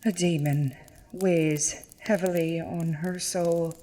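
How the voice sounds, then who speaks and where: Female actor as devout convent nun for horror audio play. Lowish voice, unhurried, formal, wry, gravely insistent; noisy recording. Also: steady tone, warm tone. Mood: grave, ominous. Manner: measured, formal, solemn. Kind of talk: horror audio drama acting.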